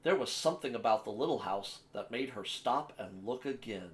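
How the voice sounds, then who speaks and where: A man with a mid-pitched voice reads a children's picture book aloud. He works as a storyteller, shaping the sentences in an expressive, measured way. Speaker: storyteller, host of a story time series.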